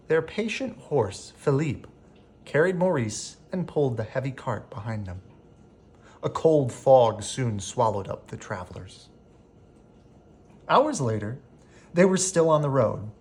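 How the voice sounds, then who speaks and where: A man reading a children's bedtime story aloud as an expressive narrator. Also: mid-range voice, measured pace, long pauses, slightly rough. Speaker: storybook reader.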